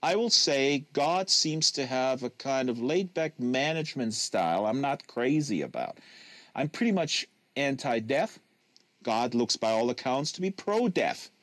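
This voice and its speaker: A man's voice: medium pitch, big pitch swings, a little slow, a bit bright, no echo. A man reading as dry, weary teenage brother. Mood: wry, tired. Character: tired, patient, wry teenage older brother. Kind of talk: novel reading.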